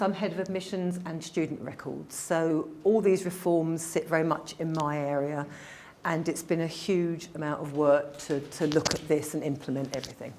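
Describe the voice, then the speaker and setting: Slightly croaky woman presenting. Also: medium pitch. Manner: quick-talking, informal, with fillers. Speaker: head of admissions giving a briefing. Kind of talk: presentation to a group.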